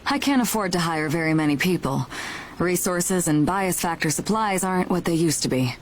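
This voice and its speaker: An actress playing a composed, shrewd woman who leads a caravan crew in a video game, speaking in a measured, businesslike, authoritative way. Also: fairly low pitch, animated, a little hiss or hum, natural voice. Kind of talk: video game cutscene acting. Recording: game music behind the voice.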